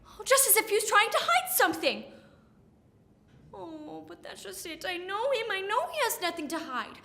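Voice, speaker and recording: Lovesick farce heroine, woman actor: stubborn, exasperated, dramatic, high and sharp. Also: big pitch swings, normal speed, vocal fry, full character voice. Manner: exasperated, dramatic, emphatic, swinging to hushed. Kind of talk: theatrical comic monologue.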